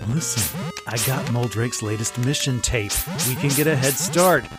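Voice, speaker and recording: A woman's voice: rumbling low, normal speed, over loud music. A woman playing an eager, nagging secret agent sidekick in an audio drama, earnest and easily exasperated.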